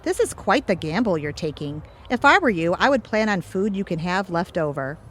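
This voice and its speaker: A woman's voice: lowish voice, very animated, moderate pace, over loud music. A woman playing a chatty, candid bartender friend in an audio theatre comedy-drama.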